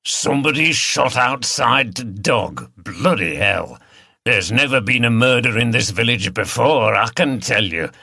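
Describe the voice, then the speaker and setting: A man's voice: medium pitch, expressive, measured pace, creaky, bright, forceful tone, breathy edge, dry recording, clean studio sound, full character voice. A man voicing an earthy, blunt old village local in an audiobook. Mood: shocked. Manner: blunt, colloquial.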